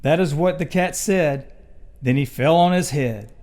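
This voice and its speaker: Male storybook narrator, higher-than-average pitch, very unhurried, expressive and rhythmic for young listeners. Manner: rhythmic, deliberate delivery of rhyme. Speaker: storyteller reading to children.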